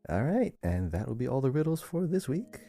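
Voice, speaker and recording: Low voiced guy, super soft dark tone, chatting games on a podcast, faint music. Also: very animated, brisk, vocal fry. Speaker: podcast co-host. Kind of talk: casual gaming podcast chat.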